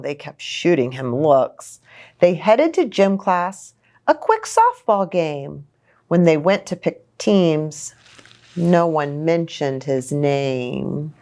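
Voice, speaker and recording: A woman reading a children's storybook aloud, expressive and sympathetic, with simple asides to young listeners. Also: fairly low pitch.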